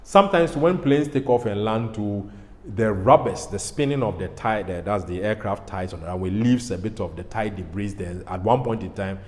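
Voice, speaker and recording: A man's voice: on the low side, moderate pace, a little mellow. A man with a Ghanaian accent presenting an educational explainer in an emphatic way, repeating his phrases. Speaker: pilot host. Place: indoor studio. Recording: echoey hall-like studio, faint noise.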